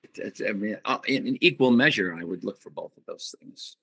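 A middle-aged man, a band singer, giving reflective interview answers and pausing often to find his words. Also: on the low side, expressive, a bit bright, husky. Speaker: musician guest, band singer.

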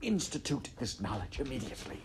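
A man voicing a sly, scheming rat in a storybook, suave, condescending and elaborately polite in a hushed, pompous way. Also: mid-range voice, sing-song, brisk, a little hiss or hum, pitched above the performer's own voice, lightly acted. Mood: hushed, exasperated. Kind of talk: storybook character voice in audiobook narration.

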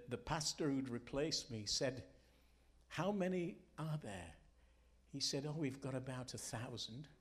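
A man preaching a Sunday sermon and telling stories, earnest and deliberate, with emphatic phrasing and dramatic pauses. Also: mid-range voice. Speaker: guest preacher, former pastor. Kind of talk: Sunday morning sermon, storytelling.

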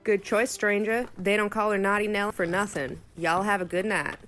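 A woman's voice: mid-range voice, fairly even intonation, moderate pace, fairly bright tone, faint music behind, natural voice. A woman playing a welcoming, worldly Old West madam in a comic audio drama. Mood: sly approval. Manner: showy, inviting hostess patter.

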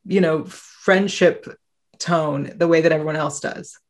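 A woman's voice: sits low, steady tone, measured pace. A woman hosting a podcast, eagerly asking a guest curious questions. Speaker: podcast host. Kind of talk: interview questions.

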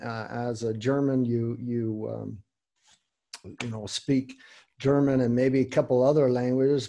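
A man's voice: medium pitch, mellow. A man hosting a podcast, asking long, winding questions with fillers. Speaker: podcast host. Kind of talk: interview questions.

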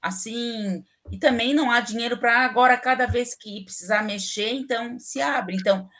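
Woman, middle-aged, southern Brazilian accent, podcast guest, chatty, opinionated, mid pitch. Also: fairly bright tone. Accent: southern Brazilian Portuguese. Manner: chatty, opinionated, many fillers. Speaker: civil engineering professor, guest. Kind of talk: casual interview talk.

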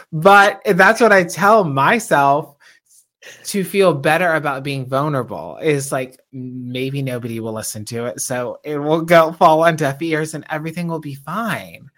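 A man co-hosting a podcast, with a naturally high voice. He talks in a reflective, self-aware way and grows animated when he presses a point. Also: unhurried. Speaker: podcast co-host. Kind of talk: casual conversational chat.

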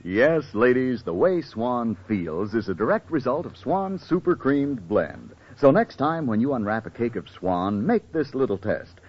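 Animated, husky male radio announcer. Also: mid-range voice, a little slow, hardly any pauses. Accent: American. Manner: persuasive, emphatic phrasing. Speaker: commercial announcer. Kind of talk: 1940s radio advertising pitch. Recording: dull vintage radio broadcast.

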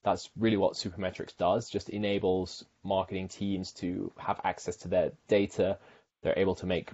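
A man's voice: low-pitched, level tone, moderate pace, mellow, highs cut off. A man from the UK, a marketing director, talking casually as an interview guest with frequent fillers and restarts.

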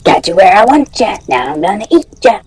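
Host doing a breathy little closet monkey chanting quick and dry, creepy-silly. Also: mid-range voice, big pitch swings, a little mellow, no echo, soft background music. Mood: taunting. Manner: sing-song taunting chant. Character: gross prank monkey lurking in a closet, taunting. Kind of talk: campfire story reading.

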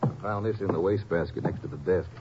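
A plain-spoken ranger partner, played by an actor, speaks in a lowish, laconic way. The old broadcast is muffled and heavy with hiss. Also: male voice, fast, lightly acted. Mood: level. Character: easygoing male ranger partner. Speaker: lawman. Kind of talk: 1950s radio drama acting.

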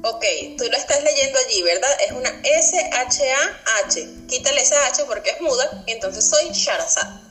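A woman from Venezuela, a sociologist guest, answering thoughtfully with pauses and easy laughs. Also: sits high, lively intonation, fairly bright tone, faint music behind, low-quality recording. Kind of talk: casual interview answers.

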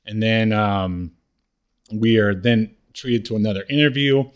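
A man co-hosting a podcast, casually recapping a TV comedy episode with halting delivery and acted-out quotes. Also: on the low side, clear, resonant tone, dull audio. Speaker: podcast co-host.

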